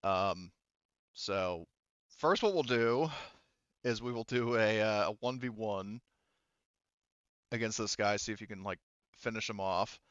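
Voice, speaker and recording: A man acting as game master for a casual tabletop roleplaying game, halting and thinking aloud as he explains rulings. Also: on the low side, a bit bright, smooth, no room sound, highs cut off.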